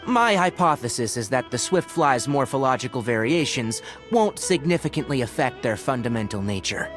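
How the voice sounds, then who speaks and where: Lively-pitched analytical young scholar played by a man. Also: faint music behind, lightly acted. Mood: thoughtful. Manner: measured, precise, academic. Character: calm, analytical young male forest scholar. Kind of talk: video game character acting.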